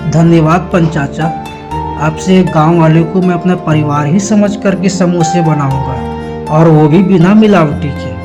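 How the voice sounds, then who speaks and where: A man voicing a greedy middle-aged samosa seller in a cartoon story, hawking loudly and pleading. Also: a little high, a little slow, over music, natural voice. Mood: apologetic. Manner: loud hawking, later apologetic.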